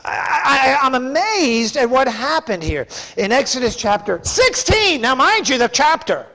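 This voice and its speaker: High-pitched, emphatic male preacher. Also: big pitch swings, unhurried, sharp tone. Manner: emphatic, folksy, conversational with raised-voice bursts. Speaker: pastor. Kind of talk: sermon. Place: church sanctuary, preaching from a pulpit.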